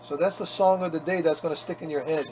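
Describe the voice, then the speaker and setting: A man's voice: fairly high pitch, steady tone, fast talker. A man talking casually as he works on a leather restoration, chatty and self-mocking. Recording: workshop noise behind the voice.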